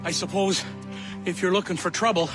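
An actor playing a feisty, suspicious old townsman in a video game, gruff and grumbling in a folksy way. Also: high voice, fairly even intonation, a little fast, over music, close to an everyday voice. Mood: grumbling, grateful. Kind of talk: video game cutscene acting.

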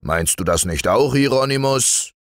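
A man's voice: medium pitch, lively intonation, a little slow, dry recording, clean studio sound, pitched above the performer's own voice. A man voicing a grumpy, stout older male bear in a storybook reading, grumbling and a little sly.

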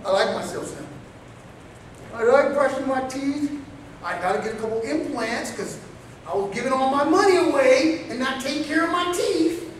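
A middle-aged man preaches with a high, lively voice, acting out little scenes as he moves around. He is picked up by a far camera, so the small room rings with heavy echo and a faint hiss. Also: moderate pace. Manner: animated, acts out quotes, gestures widely while pacing. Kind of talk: storytelling sermon. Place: small indoor meeting room.

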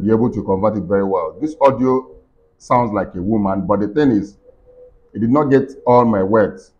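Deep voiced guy explaining his pc screen step by step, lots of ums, kinda dull lo-fi sound. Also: a little mellow. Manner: explains step by step with many fillers and restarts. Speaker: tech tutorial host. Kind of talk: screen-recorded software walkthrough. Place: indoor room at a computer desk.

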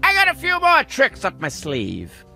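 Cartoon game imp: very high voice, swooping delivery, cheeky trickster, music behind. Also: male voice, wildly swooping pitch, a little slow, vocal fry, heavily acted. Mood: gleeful showmanship. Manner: theatrical exclamations and cheeky wordplay. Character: mischievous imp trickster from another dimension, small, excitable, wisecracking. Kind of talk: animated video game cutscene acting.

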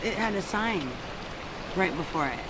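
A woman's voice: fairly low pitch, moderate pace, a loud crowd, rough recording. A woman talking in a friendly, upbeat way with a stranger while walking outdoors. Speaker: fellow tourist. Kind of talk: casual conversation. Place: outdoors on a forest trail. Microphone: picked up by someone else's handheld camera. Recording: outdoor camera audio with steady background noise.

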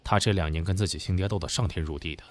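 A man's voice: low voice, very fast, lightly acted. A man acting an insinuating, worldly senior businessman in an audio drama, with a knowing, needling manner. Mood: knowing, confiding.

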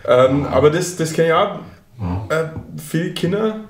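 A middle-aged man from Upper Franconia, hosting a relaxed interview chat in a small office room. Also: a little high, normal speed, reverberant. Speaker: podcast host. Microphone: table microphone.

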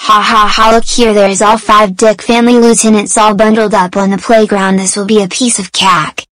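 A woman's voice: medium pitch, flat delivery, normal speed, a bit bright, dry recording, natural voice. A woman voicing a smug, scheming bald young boy in a crude cartoon parody. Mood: gloating. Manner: smug, gloating, repetitive.

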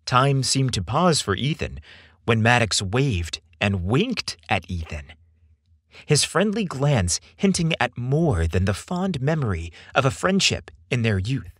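A man reads a dramatic story at an unhurried pace. His delivery is theatrical and deliberate, with a lively, swinging intonation. The voice is slightly rough and husky, captured close and dry. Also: mid-range voice, no echo. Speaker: podcast storyteller. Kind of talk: scripted narration, dramatic storytelling.

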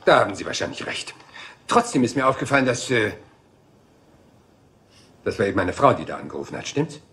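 An actor playing a rumpled, humble police inspector in a German radio crime drama, talking in hesitant, apologetic, rambling questions. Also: male voice, on the low side, big pitch swings, a little fast, creaky, fairly bright tone, heavily acted. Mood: musing.

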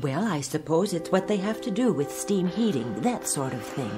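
An actress playing a placid, doting, oblivious wife in a radio drama, soothing and unruffled. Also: female voice, on the low side, sing-song, normal speed, music in the background. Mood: placating.

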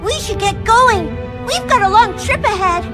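Squeaky little earnest cartoon animal. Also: female voice, lively intonation, normal speed. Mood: gentle. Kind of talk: animated cartoon dub acting. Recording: loud music behind the voice.

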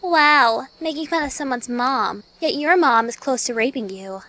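A woman's voice: high-pitched, moderate pace, pushed and bright, rough recording. A woman voicing a sassy, sarcastic teenage girl in a cartoon parody, with a snarky manner. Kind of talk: fan parody cartoon dub.

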